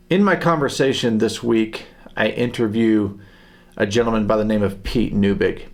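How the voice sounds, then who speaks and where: A man hosting a podcast for tradespeople. He introduces the show and asks earnest questions with some halting restarts. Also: mid-range voice. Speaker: podcast host. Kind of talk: podcast intro and casual interview.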